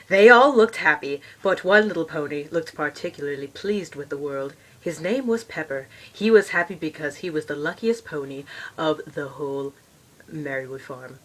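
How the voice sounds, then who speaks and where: Woman putting on a husky, pompous male troll reader. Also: deep voice, a bit bright, low-quality recording. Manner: pompous, formal, easily exasperated. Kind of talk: storybook reading with muttered asides.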